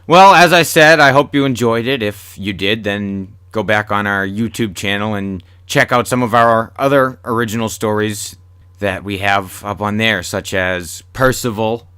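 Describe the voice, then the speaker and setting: A man's voice: fairly low pitch, moderate pace, sharp tone, clean tone, no echo, studio quality. A man hosting a horror podcast, chatting casually to listeners in a rambling, repetitive, sweary way. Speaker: horror podcast host and writer. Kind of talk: casual solo podcast chat.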